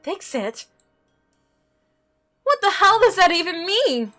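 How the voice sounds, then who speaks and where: A woman's voice: very high voice, big pitch swings, slow, a bit bright, soft background music, pitched above the performer's own voice, full character voice. A woman playing an alarmed, disgusted visual novel protagonist, exclaiming with indignation. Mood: alarmed, indignant.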